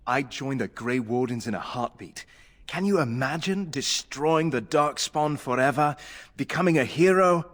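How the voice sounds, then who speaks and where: Earnest squire in echoing hall. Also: male voice, mid-range voice, animated, moderate pace, fairly bright tone. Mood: earnest, eager. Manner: courteous, earnest. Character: earnest, courteous young squire keen on heroism. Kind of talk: video game voice acting.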